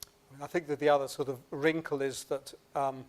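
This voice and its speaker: A man chairing a panel Q&A in a packed conference room, calm and measured, with brief affirming interjections. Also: medium pitch.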